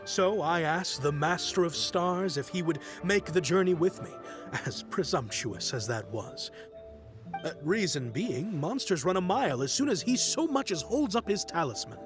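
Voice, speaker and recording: A man's voice: sits high, animated, moderate pace, music in the background. A man playing a chatty, deferential merchant in a video game. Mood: impressed. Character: chatty, satisfied male merchant.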